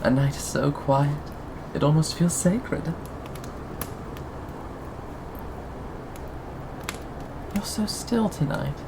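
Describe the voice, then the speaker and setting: A performer in an intimate ASMR roleplay voicing a possessive, sweetly menacing captor, coaxing and teasing with pauses and sighs. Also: medium pitch, animated, vocal fry, noisy background.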